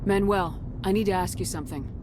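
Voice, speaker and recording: An actress playing a commanding, no-nonsense space soldier in a video game, questioning people directly. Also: female voice, mid-range voice, steady tone, brisk, noisy background, natural voice. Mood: firm. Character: brisk, commanding female space soldier hero. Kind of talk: dramatic game dialogue.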